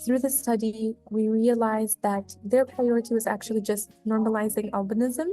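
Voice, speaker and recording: Woman in her twenties from Tanzania; measured, earnest narration over faint music. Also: on the high side, fairly even intonation, mellow. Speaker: graduate student researcher. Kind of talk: personal storytelling documentary voice-over.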